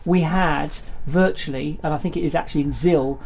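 A man's voice: on the high side, brisk, a little mellow. A middle-aged man with an English accent chatting casually and a little hesitantly as he presents a charity vlog. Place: small cluttered storeroom office, indoors. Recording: clear background noise.

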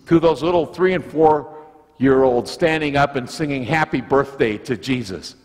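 Older guy preaching in a church, folksy storytelling, swoops up and down a lot, echoey hall. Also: mid-range voice. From United States. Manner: lively storytelling, folksy asides. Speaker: pastor. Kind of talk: sermon with object lesson. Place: church sanctuary, indoors. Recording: long church reverb, slightly husky sound.

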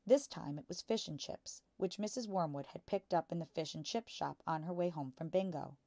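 A woman's voice: low-pitched, normal speed, a little mellow, no echo. A woman reading a children's book aloud as a storytime narrator. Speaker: storytime reader.

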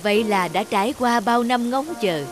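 A woman voicing a stern, dignified old male heavenly messenger in an animated fairy tale, formal and commanding. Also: a little high, moderate pace, over music, natural voice. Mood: solemn, announcing.

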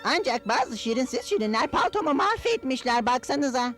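A woman's voice: high-pitched, sing-song, normal speed, a bit bright, faint music behind. A woman voicing a plucky, confident little athletic creature in a children's cartoon, eager and upbeat.

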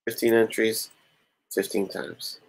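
A man's voice: on the low side, flat delivery, takes it slowly, rough recording. A man in his thirties hosting a casual comic-collecting stream from a small room at home, chatting and counting entries aloud into a webcam mic. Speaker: YouTube comic channel host. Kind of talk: casual livestream raffle chat.

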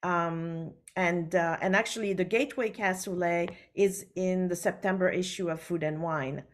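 A woman from Switzerland whose first language is French, an author talking over a video call from her book-lined study, unhurried and full of fillers. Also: lowish voice, fairly even intonation. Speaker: author guest. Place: home study with full bookshelves. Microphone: laptop webcam on video call.

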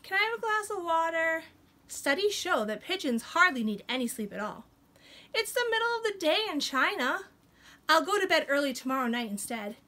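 A woman's voice: extremely high pitch, big pitch swings, moderate pace, pushed and bright, pitched above the performer's own voice, heavily acted. A woman voicing a stubborn little pigeon from a picture book, pleading against bedtime in a whiny, wheedling, dramatic way. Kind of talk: animated picture-book read-aloud. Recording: some room noise behind.

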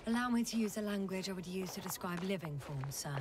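An actress playing a wry, thoughtful scientist exploring a planet in a video game, speaking in a measured, musing way. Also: female voice, mid-range voice, expressive, heavy background noise, close to an everyday voice. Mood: observant, concerned. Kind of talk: naturalistic video game voice acting.

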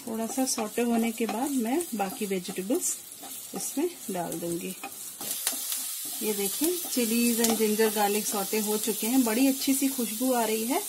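Female home cook, highish voice, instructive tutorial delivery, frying noise in the background. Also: unhurried. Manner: instructive, mixes in English words. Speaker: home cook presenting her recipe channel. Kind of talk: step-by-step cooking tutorial voice-over. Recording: clear kitchen noise, frying sizzle. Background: sizzling food and stirring.

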